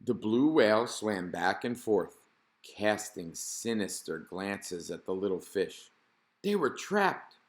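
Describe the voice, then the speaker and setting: Male storybook narrator, slightly low register, reading aloud for children. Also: very animated, slow pace. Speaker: storybook reader.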